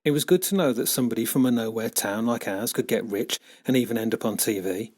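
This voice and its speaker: A man reading a children's story as scripted narration, expressive and dramatic in his delivery. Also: mid-range voice, quick pace. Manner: expressive, dramatic character shifts. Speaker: author reading own book.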